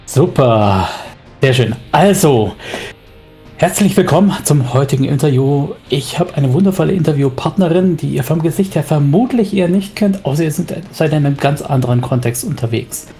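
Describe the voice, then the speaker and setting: A man hosts a podcast interview with a gravelly, mellow voice. He asks questions in a casual, chatty way, with fillers and small asides. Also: a little high, animated, normal speed, faint music behind. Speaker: podcast host.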